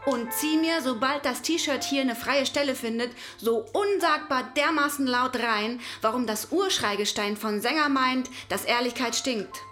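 Woman, high pitch, slow theatrical poetry reading, crude slang, music behind. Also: fairly bright tone.

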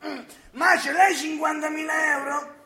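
A man's voice: extremely high pitch, steady tone, a little slow, vocal fry, exaggerated voice. An actor playing a frustrated, boastful man in his thirties with a southern Italian accent, declaiming and ranting in a theatrical radio drama. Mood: scornful. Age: 30s. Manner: ranting, declamatory, often shouting. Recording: roomy stage-like echo.

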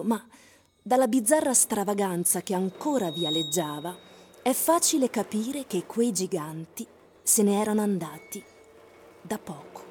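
An actress playing a knowing narrator in a literary radio drama, measured and storytelling, with a husky, mellow voice. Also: female voice, mid-range voice, slight background noise, natural voice. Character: wry, knowing female narrator of surreal fiction.

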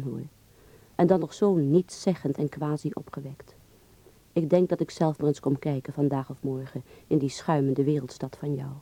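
A young woman in a radio play, voicing a letter to a close friend. Her voice is very dark and soft-edged, slightly low, in a dry, close recording. She is chatty, intimate and teasing. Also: no echo. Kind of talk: radio drama letter monologue.

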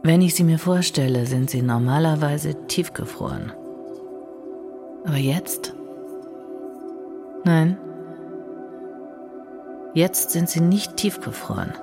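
An actress playing a woman narrating a dark, trancelike inner monologue in hushed, breathy, deliberate short phrases. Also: low voice, very animated, over music, heavily acted. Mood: entranced, tender. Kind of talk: intimate audio drama monologue.

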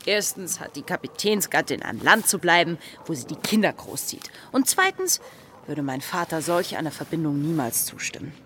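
A woman playing a spirited, impulsive young plantation daughter in a radio play, breathy and intense. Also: sits low, big pitch swings, moderate pace, a bit bright, slight background noise. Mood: defiant. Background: music.

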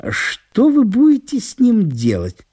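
Guy doing a fussy-polite small town gentleman, coaxing, super lively pitch, bit husky. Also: measured pace, heavily acted. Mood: persuasive, coaxing. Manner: ornate, courteous, persuasive. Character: smooth-talking, wheedling, dignified small-town gentleman, Ivan Ivanovich. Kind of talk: radio literary solo performance.

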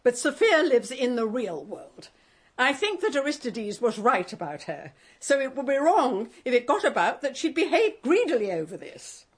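Brisk, husky older aunt. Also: female voice, high voice, sing-song, full character voice. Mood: brisk, reproving. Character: brisk, sensible older aunt keeping family order. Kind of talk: radio drama acting.

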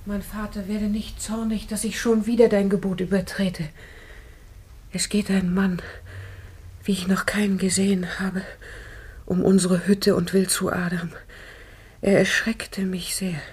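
An actress playing a frightened, dutiful daughter in a classic German radio drama, speaking in an earnest, hushed manner. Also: female voice, mid-range voice, level tone, unhurried, pauses often, husky. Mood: fearful, hushed.

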